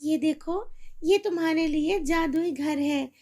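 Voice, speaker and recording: Casting: woman as protective magical fairy, cartoon storybook, extremely high-pitched, firm and righteous. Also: slow pace, a little mellow. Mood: reassuring. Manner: firm, declarative. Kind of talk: cartoon fairy-tale dubbing.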